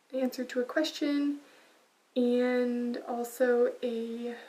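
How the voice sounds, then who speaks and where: A quiet-voiced woman hosting a casual knitting podcast, soft-spoken and full of small ums and uhs. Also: on the high side, recorded from a distance, low-quality recording. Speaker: podcast host.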